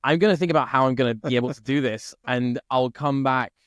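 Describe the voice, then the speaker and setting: A man from Italy co-hosting a podcast, chatting casually and thinking out loud, often checking in with "right?". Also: mid-range voice, fast talker, clear, resonant tone, dry recording. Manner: thinks aloud, peppers speech with "like" and "right?". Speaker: podcast co-host. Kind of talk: casual conversation.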